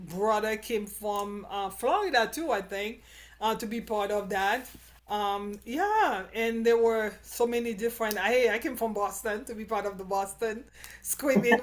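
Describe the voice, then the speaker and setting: A woman with a fairly high voice hosts a casual live stream. She is chatty and upbeat, and she rambles and restarts her sentences as her excitement builds. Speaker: show host.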